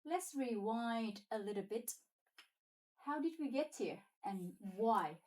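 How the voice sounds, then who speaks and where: Higher-voiced woman moderating a roundtable. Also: lively intonation, slow, a little mellow, recorded from a distance. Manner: prompting, encouraging questions. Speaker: podcast host.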